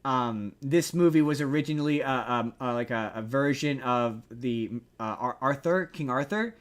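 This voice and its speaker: A man co-hosting a podcast, chatting casually about pop culture in an excitable, stammering, emphatic way with casual swearing. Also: medium pitch, moderate pace. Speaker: podcast co-host.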